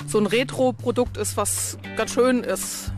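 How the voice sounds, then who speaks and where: A woman's voice: fairly high pitch, fairly even intonation, measured pace. A woman chatting casually as an interviewee, giving her opinion. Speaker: street interviewee. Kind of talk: casual chat. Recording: music over interview clip.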